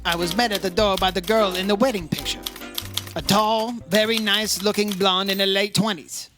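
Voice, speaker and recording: A man playing a brisk, hard-boiled insurance investigator in an old-time radio detective drama, terse and matter-of-fact. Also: high-pitched, fairly even intonation, over music, natural voice. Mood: matter-of-fact narration.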